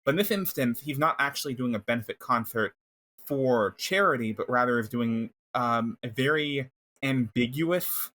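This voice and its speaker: Guy on a podcast, average pitch, casual recap talk, kinda wordy and wry. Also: moderate pace, a bit bright. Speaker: podcast co-host.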